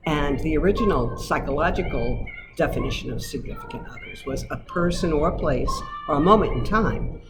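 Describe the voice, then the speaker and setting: A woman cabaret singer with a low voice, chatting casually in a radio interview, self-deprecating and quick to laugh. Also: wildly swooping pitch, noisy background. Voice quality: lower voice. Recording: music playing loudly underneath.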